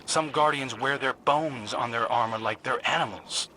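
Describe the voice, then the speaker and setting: Video game newcomer scout played by a man: compassionate, dryly witty, forceful bright delivery over light background noise. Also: mid-range voice, moderate pace, close to an everyday voice. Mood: earnest. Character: wry, compassionate young newcomer scout, male.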